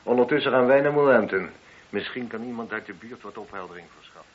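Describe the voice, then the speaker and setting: An actor in a radio play voicing a sceptical, commanding police inspector with a dry, sardonic, probing manner. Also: male voice, medium pitch, a little hiss or hum, lightly acted. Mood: brisk, commanding. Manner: dry, sardonic, brisk with subordinates. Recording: muffled, lo-fi radio drama recording.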